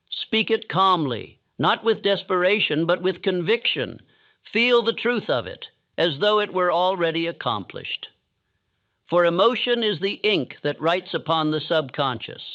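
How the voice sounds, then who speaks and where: A man reading scripted audiobook-style narration meant for sleep, in a calm, steady, solemn manner. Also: a little high, no echo, highs cut off.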